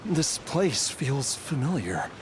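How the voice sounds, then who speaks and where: An actor voicing a troubled but determined young man in a video game, with a halting, breathy, strained delivery. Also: mid-range voice, sing-song, background noise. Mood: uneasy, searching. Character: troubled, determined young man searching his lost memories. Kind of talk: video game character acting. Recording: music playing behind the voice.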